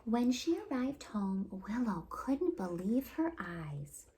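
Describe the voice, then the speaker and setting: A woman hosting storytime, reading a children's picture book aloud in an expressive, deliberate storytelling delivery. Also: mid-range voice, a little hiss or hum. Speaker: storytime host and reader.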